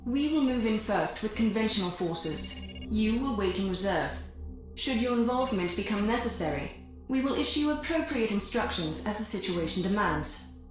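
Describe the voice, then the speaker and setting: A woman's voice: mid-range voice, lightly acted. Echoey formal woman mission operator, voiced by an actress. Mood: grave, urgent. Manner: formal, measured. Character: earnest, formal woman mission operator briefing mercenary pilots. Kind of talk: scripted video game mission briefing. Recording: very echoey room, loud music behind.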